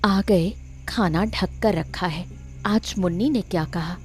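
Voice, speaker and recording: A woman voicing a long-suffering elder daughter-in-law in an animated story, wounded, resentful and plaintive. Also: lowish voice, takes it slowly, faint music behind. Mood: bitter. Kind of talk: melodramatic animated story acting.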